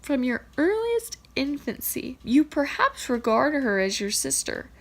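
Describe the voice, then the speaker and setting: Storybook father, performed by a woman: formal, concerned, earnest and measured, with creaky fry. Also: sits high, big pitch swings, voice pushed up, full character voice. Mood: formal, gentle.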